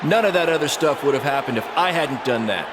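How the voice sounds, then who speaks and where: A male actor plays a broken veteran wrestler in a video game, full of regret and pride. His fairly high male voice is earnest and emphatic, rising and falling freely. A very loud crowd roars behind him. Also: animated, brisk, close to an everyday voice. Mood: remorseful. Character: remorseful, broken veteran wrestler, proud former mentor. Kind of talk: wrestling promo on microphone. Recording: loud arena crowd and noise.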